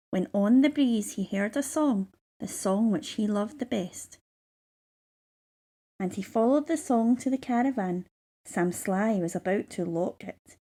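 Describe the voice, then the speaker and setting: A woman reading a children's story aloud in measured, rhythmic verse. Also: medium pitch, warm tone. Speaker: storybook reader.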